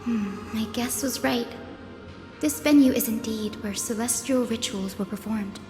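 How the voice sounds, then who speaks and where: Gentle game scholar, played by a woman: unhurried, explanatory, thoughtful, reverberant space. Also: a little high, lightly acted. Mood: calm, curious. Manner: calm, explanatory. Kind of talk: game voice acting. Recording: reverberant, music behind.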